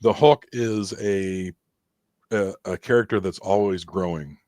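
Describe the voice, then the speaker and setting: A man's voice: low voice, measured pace, mellow, no echo, studio quality. A man talking as a guest in a casual interview, rambling through stories and laughing often at himself. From Irish heritage. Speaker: guest musician.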